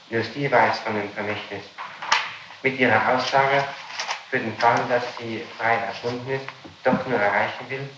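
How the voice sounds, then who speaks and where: A man questioning formally as an interrogator and reading documents aloud in a halting, stumbling way, on an old archival tape. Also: medium pitch, roomy sound, muffled recording, background noise. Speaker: police or judicial interrogator.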